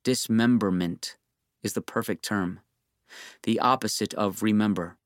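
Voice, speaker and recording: A man's voice: fairly low pitch, measured pace, dry recording, studio quality. A man narrating a literary audiobook in a restrained, solemn style.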